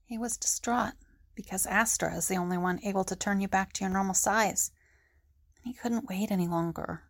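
A woman's voice: mid-range voice, moderate pace, natural voice. A woman playing an earnest, level-headed team leader in an audio drama. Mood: pleading.

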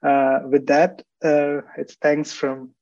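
Male guest editor, mellow higher voice, polite formal sign-off, dry dull call sound. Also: monotone, takes it slowly, no echo. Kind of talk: thanking participants at the end of an online panel.

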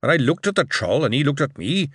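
Old dwarf cobbler, read by a man, gruff and blunt, lively in the telling, dry sound. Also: on the high side, fast, no room sound, voice pushed up, full character voice. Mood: gruff reminiscing. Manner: gruff, telling a war story with rising excitement.

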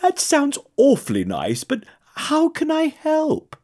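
A woman's voice: high voice, wildly swooping pitch, slow, mellow, voice pushed up, full character voice. A woman voicing a lonely, friendly giraffe in a children's storybook, welcoming and well-spoken. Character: gentle, lonely, friendly giraffe, high and airy.

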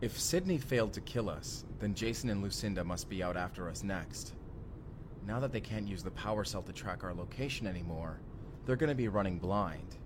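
A man's voice: low-pitched, expressive, fairly quick, background noise. A man playing an urgent, determined time traveler in an audio roleplay drama, earnest and intense. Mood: urgent, planning. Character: urgent, determined male time traveler, protective of his partner.

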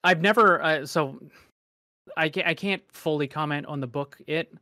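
A man with a somewhat high voice argues casually on a panel. He is hesitant yet insistent, circling back over his own phrases. The sound is close and dry. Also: normal speed, pushed and bright, dry recording. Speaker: discussion panelist. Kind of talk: casual debate.

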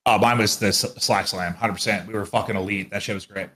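A man's voice: fairly low pitch, fairly even intonation, quick pace, fairly bright tone. A man co-hosting a podcast, chatting casually and bluntly with plenty of swearing and colloquial phrasing. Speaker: esports commentator and podcast co-host.

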